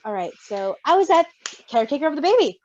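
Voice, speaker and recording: A woman reading a story aloud in an animated style, with casual asides, on a slightly dull recording. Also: high-pitched, fast talker, a little hiss or hum. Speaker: story reader.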